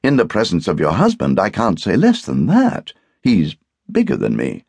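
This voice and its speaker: A man's voice: low-pitched, huge pitch swings, normal speed, vocal fry, a little mellow, slightly rough, no echo, voice pushed up, exaggerated voice. A man voicing a witty, teasing English houseguest with a pompous, mock-wounded manner, as in an audiobook. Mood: mildly amused.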